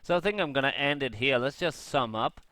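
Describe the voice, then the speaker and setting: A man giving a casual, rambling review as a gaming vlogger, unscripted and halting, thinking aloud as he goes. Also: medium pitch, sharp tone, no echo.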